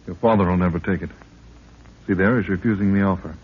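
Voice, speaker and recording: Alert young adventurer, low, very husky voice, eager and respectful, old radio serial with thin tinny sound. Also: male voice, steady tone, fast talker. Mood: curious, admiring. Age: young adult. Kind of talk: 1930s radio acting. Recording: tinny, band-limited 1930s radio recording.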